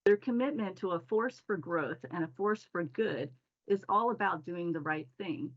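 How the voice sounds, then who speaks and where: Woman moderating a panel, slightly low voice. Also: normal speed, dull audio. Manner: scripted, formal introductions. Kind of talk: hosting a panel discussion.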